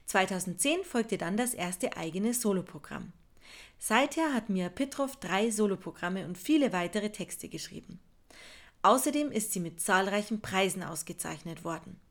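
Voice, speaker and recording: Woman host reading a measured introduction. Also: mid-range voice, animated. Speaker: podcast host, interviewer. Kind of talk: read introduction and interview questions.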